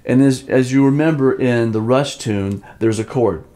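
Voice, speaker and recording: A man's voice: mid-range voice, moderate pace, warm tone. A man giving an informal drum lesson, explaining step by step and sometimes sounding out rhythms in syllables. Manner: demonstrates rhythms by vocalizing syllables. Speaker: drum teacher. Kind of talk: instructional lesson talk.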